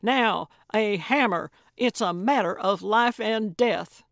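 A woman's voice: mid-range voice, slow pace, dry recording, clean studio sound. A woman voicing a feisty, stubborn, suspicious older woman in an audiobook, with a blunt, emphatic delivery. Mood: determined. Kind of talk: audiobook character voice.

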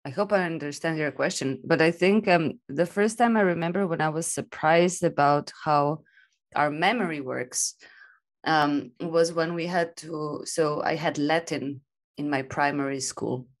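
A woman's voice: deep voice, a little slow. A woman guest on a video call, reflecting on learning and memory, who talks in restarts and laughs easily. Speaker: guest, design thinking. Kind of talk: casual video-call conversation.

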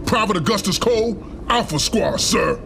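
An actor playing a boisterous, swaggering soldier in a game cutscene. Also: male voice, high-pitched, animated, normal speed, vocal fry, background noise. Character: loud, swaggering male soldier. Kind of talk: video game cutscene acting.

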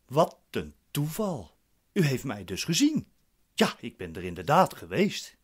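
Curt suspicious guy in a radio play, bossy, pitch jumps around. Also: huge pitch swings, a little slow, slightly rough, exaggerated voice. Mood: inquisitive. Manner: curt, questioning. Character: suspicious, impatient, commanding male defendant. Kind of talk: radio drama acting.

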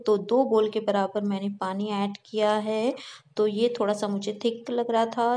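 A young woman hosts her own cooking channel, talking through each step of a home recipe in a friendly, explaining voice. She switches easily between Hindi and English words. Her voice sits a little high, and it rises and falls very little. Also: darkish tone. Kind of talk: recipe voice-over narration. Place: home kitchen.

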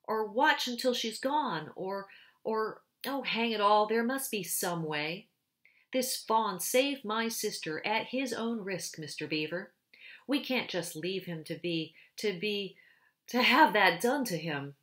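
A woman's voice: medium pitch, expressive, slow, a bit bright, pitched above the performer's own voice. A woman voicing a determined boy in a storybook, urgent and flustered. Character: determined boy, eager to act. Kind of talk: storybook read-aloud.

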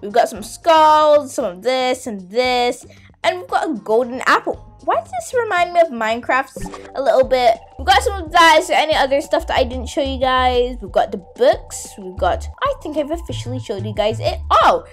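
A girl with a high, youthful voice gives a casual game tour. She chats directly to her viewers with playful exclamations, while music plays behind her. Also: sing-song, normal speed. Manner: chatty, exclamatory, addressing viewers. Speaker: gaming video host.

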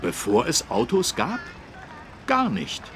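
A man's voice: mid-range voice, wildly swooping pitch, takes it slowly, crowd noise, noisy background. A man playing a friendly, helpful policeman who explains things simply to small children in a German cartoon game. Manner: didactic, clearly phrased for small children. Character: friendly, helpful adult male policeman teaching children road safety.

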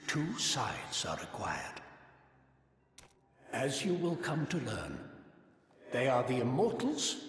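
A man's voice: medium pitch, fairly bright tone, exaggerated voice. A man voicing a solemn, mystical old guardian spirit in a fantasy game, grave and portentous in manner. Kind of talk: fantasy video game character acting. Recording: echoey hall, long reverb, music behind.

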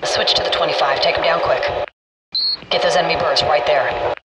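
An actor playing a businesslike military gunship fire control officer, giving clipped, commanding radio callouts in a video game. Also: male voice, a little high, fairly even intonation, normal speed, lightly acted. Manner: terse, commanding. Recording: distant military radio, loud aircraft cabin noise.

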